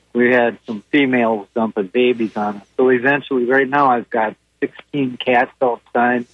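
A man's voice: mid-range voice, dry recording. A man calling in to a radio show, speaking in a hesitant, rambling way. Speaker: caller. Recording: tinny phone line.

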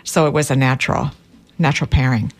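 Female professor guest, 60s, from Cuba and Miami, low voice, conversational. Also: fairly even intonation, brisk. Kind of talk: conversational interview answers.

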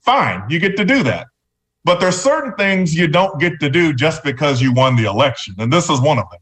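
A man's voice: mid-range voice, fairly bright tone. A man speaking as a guest on talk radio, giving conversational political commentary. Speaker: radio show guest, former political candidate.

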